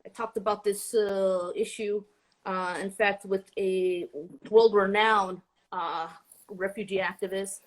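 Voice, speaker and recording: A woman in a heated debate, talking quickly and casually with fillers, often cutting in. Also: medium pitch, steady tone, rough recording. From born in Saudi Arabia. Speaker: debate guest, activist.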